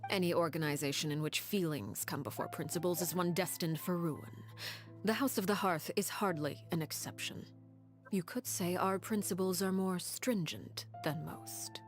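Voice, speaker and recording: A woman's voice: on the low side, soft background music, lightly acted. A woman playing a cold, composed matriarch. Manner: calm, measured, quietly menacing. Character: cold, poised woman leading a ruthless house. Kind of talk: video game voice acting.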